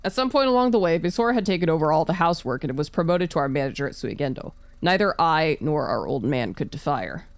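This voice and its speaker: A woman's voice: deep voice, lively intonation, fast, few pauses, no echo. A woman streamer reading visual novel narration aloud, with casual asides. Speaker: VTuber streamer.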